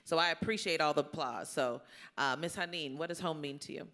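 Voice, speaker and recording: A woman's voice: deep voice, a little fast, a bit bright. A woman hosting an informal live panel, talking in a folksy, colloquial, encouraging way. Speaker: panel moderator. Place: indoor luncheon event before an audience. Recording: live event room with crowd.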